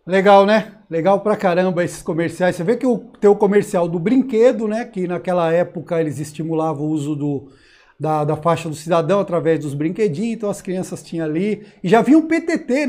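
High-pitched Brazilian man chatting casually. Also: a little mellow. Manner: slangy, emphatic, repeats words and seeks agreement. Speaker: host of a CB radio channel. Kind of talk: casual, chatty commentary to viewers. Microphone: lapel mic.

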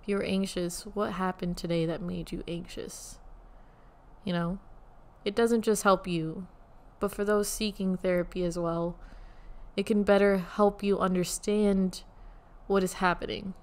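Soothing, smooth-voiced woman podcaster. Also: medium pitch, little pitch movement, measured pace. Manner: gentle, talks listeners through a short guided meditation. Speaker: podcast host. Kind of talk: casual solo podcast talk. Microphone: USB studio microphone.

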